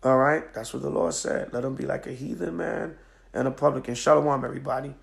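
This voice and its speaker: A man with a very gravelly, hoarse voice, worn down by a cold, gives an emphatic scripture lesson. He speaks at a middle pitch into a phone propped on a stand at home, checking in with his listeners again and again. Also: steady tone, brisk. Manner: emphatic, preacherly, with many "all right?" checks. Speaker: teacher of a religious group. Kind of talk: live-streamed scripture lesson. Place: home at night.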